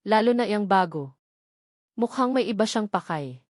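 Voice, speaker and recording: A woman's voice: mid-range voice, animated, normal speed, no room sound, lightly acted. Woman narrator doing a snooty bossy lady assistant in a story, stern n threatening, real dry close sound. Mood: menacing. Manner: stern, scolding, commanding. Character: haughty, threatening female executive assistant.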